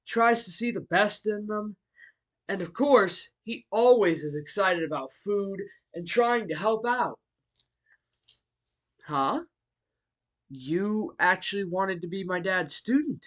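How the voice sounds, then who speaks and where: A man voicing a friendly, bookish martial-arts hero and teacher in a fan audio roleplay, speaking politely to the listener with hesitant pauses. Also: high voice, fairly bright tone. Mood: fond. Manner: polite, chuckling, hesitant pauses between phrases.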